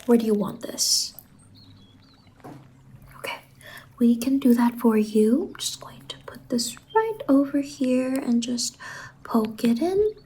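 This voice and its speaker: Slow, soft-spoken whimsical ASMR salon stylist played by a woman. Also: on the high side, expressive, a little hiss or hum. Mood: soothing, attentive. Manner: gentle, reassuring, drawn-out words. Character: whimsical, reassuring female fever-dream hair salon stylist.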